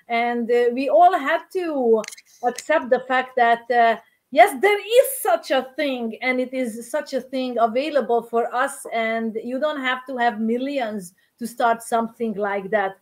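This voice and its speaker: A woman's voice: fairly high pitch, measured pace. A woman from Hungary with a Hungarian accent, hosting a chat and encouraging viewers directly. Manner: encouraging, speaks straight to viewers. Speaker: host. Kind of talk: casual interview chat.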